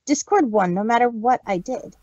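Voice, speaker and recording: Woman voicing a brash, husky cartoon pegasus pony. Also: a little high, expressive, moderate pace, darkish tone, no echo, dull audio. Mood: earnest, resolute. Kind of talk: fan fiction audio drama acting.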